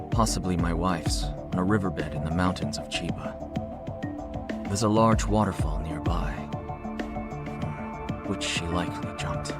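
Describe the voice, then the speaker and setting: A man playing a courteous, formal middle-aged chief executive and widower in an English-dubbed video game drama. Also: low voice. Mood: somber, measured. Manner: measured, formal, articulate. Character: polished, courteous male CEO and grieving widower. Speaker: company executive, client.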